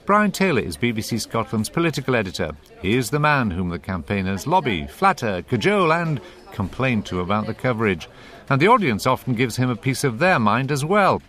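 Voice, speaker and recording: A man's voice: mid-range voice, big pitch swings, a little fast, fairly bright tone. A man presenting a radio programme in scripted narration.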